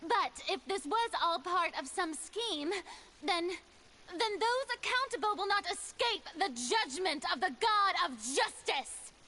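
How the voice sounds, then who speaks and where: A flustered, grandiose young noblewoman, stammering yet lofty. Her voice is very high and bright, with faint noise beneath. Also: lively intonation, a little slow, heavily acted.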